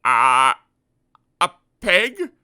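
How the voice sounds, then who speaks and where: A muddled, gentle little fellow pipes up in a raised, very bright voice that leaps about with lively pitch, stumbling over little fillers, as a male storyteller puts him on. He sounds eager and a little sly, a character from a children's storybook. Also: extremely slow, smooth, full character voice. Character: muddled gentle man, Mister Dizzy, growing sly.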